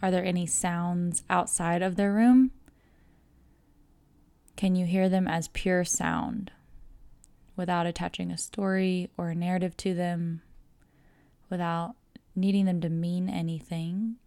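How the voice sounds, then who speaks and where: A woman guiding a relaxation meditation, speaking in a calm, measured, soothing way with pauses between phrases. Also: fairly low pitch, no echo. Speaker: yoga nidra guide. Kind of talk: guided meditation narration.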